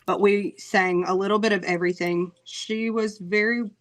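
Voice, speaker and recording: A woman singer talking casually in an interview, chatty, laughing often, with many "um" pauses. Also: medium pitch, fairly even intonation.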